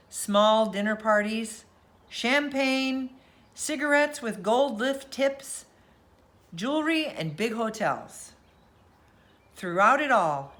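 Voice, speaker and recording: A woman reads a storybook aloud for children at bedtime. Her voice is bright and forward, with very animated pitch. She tells the tale expressively.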